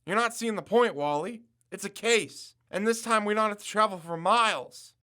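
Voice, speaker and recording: A man's voice: sits high, normal speed, pushed and bright. A young man playing an eager, confident college student turned amateur detective in an audio drama. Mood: persuasive. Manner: confident, insistent.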